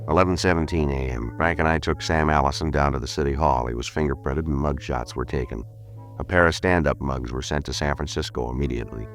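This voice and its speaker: American radio cop from the 50s, super deep voice, talks fast and flat, all business. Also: male voice, soft background music. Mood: flat, factual. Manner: deadpan, terse questioning. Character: terse, businesslike male police detective who also narrates. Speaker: detective and narrator. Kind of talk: 1950s radio crime drama acting, clipped narration. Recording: vintage 1950s radio recording.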